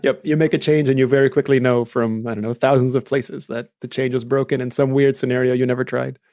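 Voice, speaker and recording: A man's voice: mid-range voice, little pitch movement, quick pace, a little mellow. A man hosting a podcast, tossing in friendly follow-up remarks in casual chat. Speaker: podcast host.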